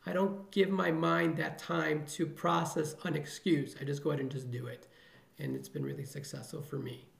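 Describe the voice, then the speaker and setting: Middle-aged male ER doctor from the Chicago area, earnest and motivational, heavy vocal fry, echoey room. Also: fairly high pitch, little pitch movement, moderate pace. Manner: earnest, motivational, repeats phrases for emphasis. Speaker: emergency physician guest. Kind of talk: podcast interview answers.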